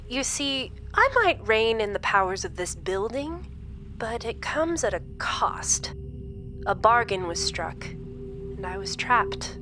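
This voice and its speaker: An actress playing a sinister supernatural woman captor in a horror audio drama, calm, theatrical and mock-polite as she gloats and schemes. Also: mid-range voice, slight background noise.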